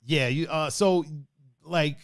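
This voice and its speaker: A man's voice: a little high, slow, clear, resonant tone, dry recording, studio quality. A man from Brazil hosting a casual chat over a video call, loose and joking with profane banter. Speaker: podcast host.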